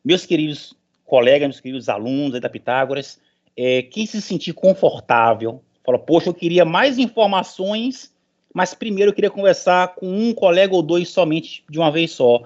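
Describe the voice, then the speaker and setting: A man's voice: a little high, lively intonation, normal speed, a little mellow. A middle-aged professor, a man addressing an online meeting in an informal, emphatic, motivational way, repeating phrases for stress. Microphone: online meeting call. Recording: dull lo-fi online call audio.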